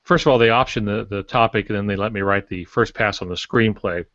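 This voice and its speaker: An older man chatting casually as a podcast guest, telling anecdotes and pausing to search for words. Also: on the low side, a bit bright. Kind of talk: casual panel talk, anecdotes. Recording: dull lo-fi roomy online audio.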